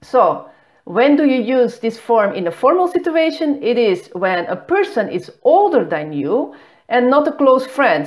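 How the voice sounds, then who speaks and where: Middle-aged woman, school founder giving a beginner lesson, fairly high voice with animated pitch, patient and clear. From not Greece. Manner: patient, clear, stresses key words with lively pitch. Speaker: language school founder and teacher. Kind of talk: explanatory beginner language lesson.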